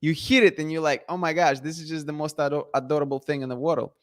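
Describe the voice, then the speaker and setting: A man's voice: fairly high pitch, fairly even intonation, fast. A man hosting a casual live chat, reading viewer comments and talking with many restarts and fillers. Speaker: livestream host.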